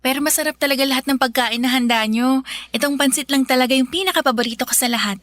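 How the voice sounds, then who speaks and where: Highish-voiced, sweet young city woman played by actress. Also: quick pace, a bit bright, no echo. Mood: sweet, polite. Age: young adult. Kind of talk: Tagalog audio drama acting. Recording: music behind.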